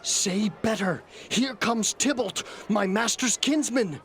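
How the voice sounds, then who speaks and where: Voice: medium pitch, slow pace. An actor playing a teasing, punning servant in a Shakespeare play, with a dry, mocking manner. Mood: wary. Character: teasing, punning Capulet servant, wary of fighting. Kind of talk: classical Shakespearean stage acting.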